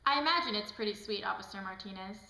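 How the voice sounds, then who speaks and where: A woman's voice: medium pitch, quick pace, vocal fry, bright, forceful tone. A woman playing a cheerful, polite talk show host in an amateur scripted puppet skit. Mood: interested. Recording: echoey room, faint background noise.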